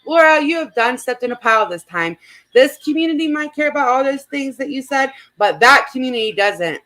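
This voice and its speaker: Woman, somewhat high pitch, informal host, gossipy chat, giggly manner, reading comments aloud. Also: animated, fairly quick, bright, forceful tone.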